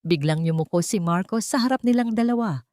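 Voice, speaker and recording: A woman's voice: medium pitch, lively intonation, a little fast, darkish tone, dry recording. A woman narrating a dramatic romance story from a script.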